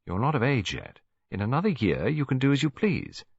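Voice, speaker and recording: A man voicing a kindly elderly English grandmother, a chatty, gently scheming matchmaker in an audiobook story. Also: medium pitch, very animated, a little fast, creaky, slightly rough, no echo, muffled recording, pitched above the performer's own voice, exaggerated voice. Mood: soothing, reasonable. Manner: gentle, chatty, reasonable, fond.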